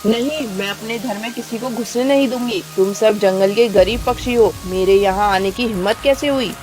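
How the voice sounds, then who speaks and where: A woman voicing a proud female bird in a children's animated moral story, speaking in a dramatic, declamatory way. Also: mid-range voice, normal speed, soft background music, lightly acted. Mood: haughty, dismissive. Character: haughty, selfish female crow, later a remorseful heron.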